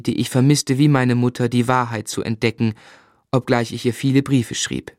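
Schauspieler als nachdenklicher Ich-Erzähler, trockene Studioaufnahme. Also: male voice, medium pitch, a little mellow, no room sound, studio quality, natural voice. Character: grown man narrating his unhappy boyhood, reflective, literary. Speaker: narrator. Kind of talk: scripted narration in German radio drama.

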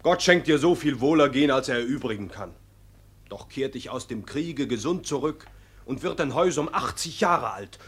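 Young peasant, bitter, hot-headed, husky, curt delivery, classical radio drama. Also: male voice, mid-range voice, expressive, normal speed, fairly bright tone. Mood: wounded bitterness. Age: young adult. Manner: curt, wounded, scornful. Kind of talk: classical German radio-play acting.

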